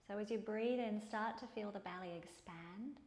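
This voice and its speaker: A woman teaching yoga and guided meditation in a calm, soothing way, with unhurried pauses between phrases. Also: mid-range voice. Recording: echoey room.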